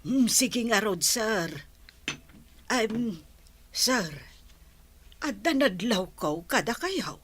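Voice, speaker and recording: A woman's voice: on the high side, animated, extremely slow, long pauses, a bit bright, husky, full character voice. An actress playing a concerned, respectful older woman coworker in a radio drama, with a Filipino accent.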